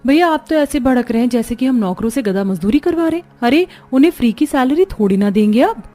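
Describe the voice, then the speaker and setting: A woman's voice: high voice, animated, fairly quick, dark tone, faint music behind. A woman voicing a pampered young woman of a rich household in a cartoon story, whiny and demanding. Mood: defensive. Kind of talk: Hindi cartoon story voice acting.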